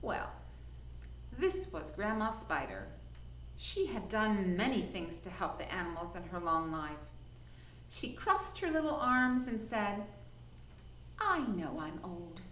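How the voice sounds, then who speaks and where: A woman reading a children's story aloud with dramatic, deliberate emphasis. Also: medium pitch, sing-song, a bit bright. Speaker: storyteller from a science museum. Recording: echoey room, faint insect-like noise.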